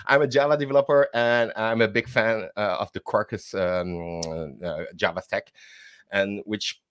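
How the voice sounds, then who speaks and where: A man's voice: fairly low pitch, fairly even intonation, measured pace, fairly bright tone, clear, resonant tone, no room sound. A man with a French accent, a developer advocate talking casually as a guest on a live stream, full of fillers and restarts. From Netherlands and France. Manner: hesitant, many fillers and repeated words, laughs easily.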